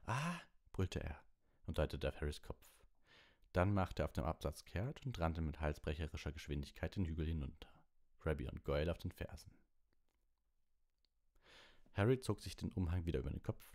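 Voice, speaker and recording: A man's voice: extremely low pitch, creaky, darkish tone. A man narrating an audiobook in a storybook reading style.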